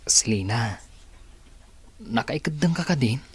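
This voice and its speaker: An actor with an Ilocano accent playing an earnest young suitor in a radio drama. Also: male voice, mid-range voice, lively intonation, measured pace, slightly rough. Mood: earnest, pleading.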